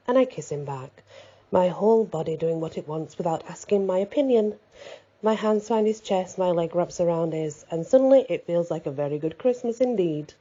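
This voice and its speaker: A woman reads a first-person story as an audiobook narrator. Her voice is fairly low, mellow and husky, captured on a slightly dull, lo-fi recording.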